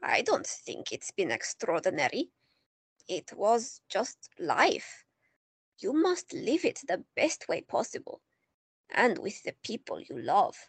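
A woman playing an older Greek-born artist and grandmother, calm and dryly teasing in an audio drama. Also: medium pitch, slow pace, husky, dry recording, full character voice. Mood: wry. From Greece.

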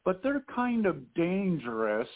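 A man's voice: sits high, big pitch swings, unhurried. A man co-hosting a podcast, interviewing a guest in a stiff, formal way with long questions. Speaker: podcast co-host. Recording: tinny phone line.

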